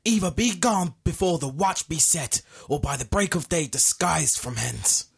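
A man playing a raspy, gravelly consoling friar. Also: on the high side, expressive, moderate pace, exaggerated voice. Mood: urgent, consoling. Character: friar, urgent but consoling counsellor to young lovers. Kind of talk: student Shakespeare audio drama.

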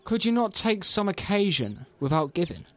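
A young man student playing a mocking, hot-headed gentleman in a Shakespeare audio play, bantering and taunting. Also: sits high, very animated, moderate pace. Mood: defiant, taunting. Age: young adult.

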